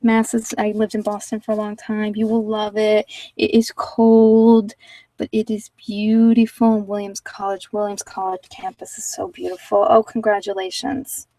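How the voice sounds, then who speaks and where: A woman with a mid-pitched voice hosts a livestream in a loose, rambling chat. She is informal and candid, with a self-deprecating streak. The sound is dry, and she sits a little far from the mic. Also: completely flat delivery, normal speed, mellow, dry recording. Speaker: livestream host giving card readings. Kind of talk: casual rambling chat with live viewers.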